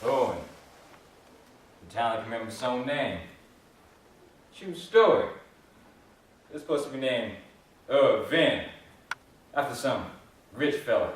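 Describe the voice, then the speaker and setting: A man's voice: medium pitch, lively intonation, slow, long pauses, slight background noise. A man playing a dry, folksy small-town local in a readers theater monologue. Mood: wry. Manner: laconic, folksy. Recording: very echoey hall, distant microphone.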